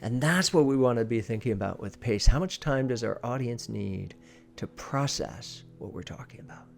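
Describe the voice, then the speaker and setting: A man's voice: mid-range voice, expressive, normal speed, gravelly. A man giving an informal instructional talk as a presentation coach, stressing his points emphatically and repeating key phrases.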